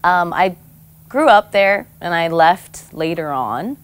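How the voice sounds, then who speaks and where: A woman's voice: fairly low pitch, animated, slow pace. A woman in her thirties from American Samoa, chatting as a guest in a studio interview, bubbly and laughing often. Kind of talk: casual interview chat. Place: studio set on a couch, indoors.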